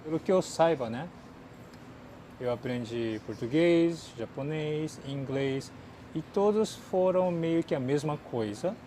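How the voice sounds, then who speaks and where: A Japanese-born man speaking as a second language, casually answering viewer questions with lots of fillers, swinging from lively to quiet mumbling. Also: on the high side, takes it slowly, slight background noise. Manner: chatty, many fillers, drifts between lively and mumbling. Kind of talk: casual chat. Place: outdoors in a city.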